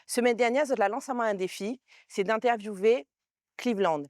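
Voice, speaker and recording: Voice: medium pitch, normal speed, darkish tone, no room sound, studio quality. An interviewer, hosting a casual interview show.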